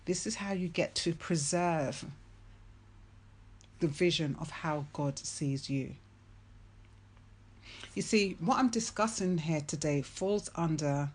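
Woman, low voice, slow unhurried delivery, earnest, emphatic, motivational speaker. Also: a little mellow. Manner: earnest, emphatic, repeats key phrases. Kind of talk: faith-based motivational talk.

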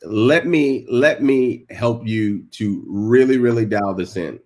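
A man's voice: mid-range voice, slow pace. A man hosting a faith-based interview, talking in an emphatic, preacherly way. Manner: emphatic, preacherly, frequent affirmations. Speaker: host.

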